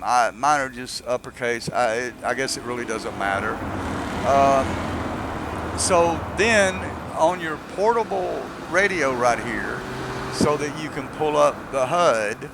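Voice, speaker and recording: A man's voice: mid-range voice, creaky, noisy background. A man giving a casual, unscripted video game tutorial, explaining step by step in a rambling way. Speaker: gamer giving a mod tutorial.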